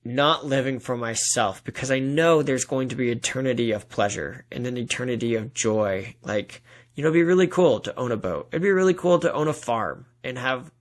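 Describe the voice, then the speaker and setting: A man's voice: medium pitch, moderate pace. Earnest man speaking as a podcast guest. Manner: earnest exhortation, talks in analogies and imagined dialogue. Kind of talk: earnest testimony in a podcast interview.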